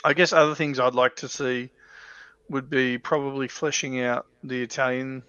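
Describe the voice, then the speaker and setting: A man's voice: mid-range voice, flat delivery, moderate pace, pushed and bright, clear, resonant tone, dry recording, dull audio. A man co-hosting a casual hobby livestream, talking hesitantly as if thinking aloud. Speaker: show co-host.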